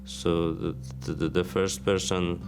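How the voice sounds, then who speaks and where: A young Afghan man speaking English with an Afghan accent, telling his life story haltingly with many pauses. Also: deep voice, flat delivery. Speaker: interviewee. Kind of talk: documentary testimony. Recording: music bed under the voice.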